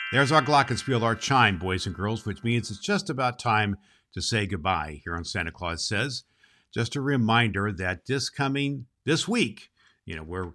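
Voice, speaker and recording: A man's voice: lowish voice, pushed and bright. A man playing a jolly, kindly old Santa Claus, reading and hosting for children with emphatic affection. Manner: emphatic, affectionate, addressing boys and girls. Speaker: storybook reader and show host.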